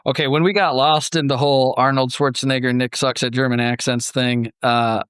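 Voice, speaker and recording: Male podcast host: mid-pitched, conversational, storytelling style with acted-out asides, very dry recording. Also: steady tone, brisk, fairly bright tone, clear, resonant tone, no echo. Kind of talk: casual conversational podcast discussion.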